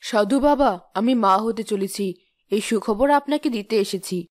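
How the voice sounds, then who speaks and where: A woman playing a gentle, anxious queen in a fairy tale cartoon. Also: on the high side, normal speed, natural voice. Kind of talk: fairy tale animation voice acting.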